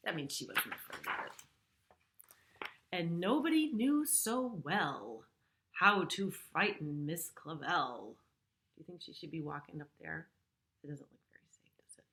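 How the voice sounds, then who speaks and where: A middle-aged woman reads a storybook aloud for preschool children. Her delivery is slow and her voice is bright. She explains things simply, as one does for young listeners. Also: low voice, very animated, slight background noise. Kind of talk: picture-book read-aloud with explanatory asides.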